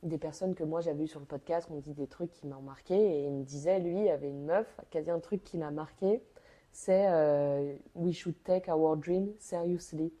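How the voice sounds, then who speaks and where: A young woman hosts in a lowish, very mellow voice. She chats informally with plenty of slang and encourages the person she is talking to. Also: normal speed. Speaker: podcast host. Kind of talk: casual interview chat.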